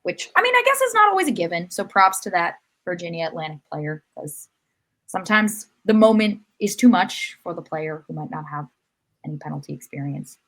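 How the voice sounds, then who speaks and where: A woman's voice: on the low side, very animated, brisk, a bit bright. A woman co-hosting a podcast, talking sports in a casual, analytical way. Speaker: podcast co-host.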